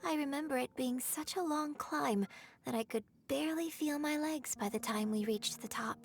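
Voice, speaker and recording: Poised, high-voiced young opera performer. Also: female voice, gravelly. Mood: nostalgic, admiring. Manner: eloquent, measured, theatrical storytelling. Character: graceful young female opera performer, poised, refined storyteller. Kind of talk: video game voice acting.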